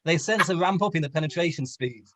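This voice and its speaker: A man's voice: on the high side, fast, bright, forceful tone. A man reading a story aloud for comedy with dramatic delivery, stumbling and correcting himself. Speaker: co-reader on a comedy stream.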